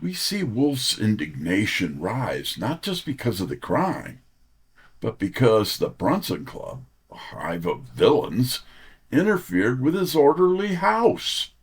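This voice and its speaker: A man's voice: medium pitch, big pitch swings, slow pace, husky. A man giving polished, scripted-sounding interview answers as an author, in articulate, dramatic phrases.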